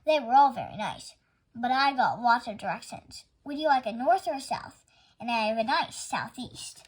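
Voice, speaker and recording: A cheerful, muddled woman driver from a children's storybook, voiced by a woman reader, in a high voice. She takes everything literally and speaks with innocent earnestness. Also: animated, normal speed, breathy edge.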